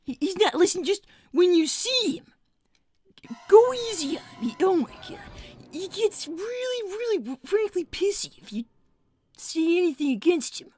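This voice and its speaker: A woman's voice: very high voice, very animated, normal speed, a little mellow, soft background music. A woman voicing a nervous, earnest young male fox who stammers and rambles while streaming live. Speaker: livestream character performer. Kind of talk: live roleplay stream with chat.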